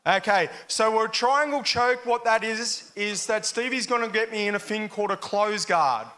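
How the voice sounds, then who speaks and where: A man with an Australian accent talks a live audience through a sports demonstration on a microphone. His voice is high for a man and bright, with some rasp to it. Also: moderate pace. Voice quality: raspy. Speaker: jiu-jitsu demonstrator. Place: live function room at a club.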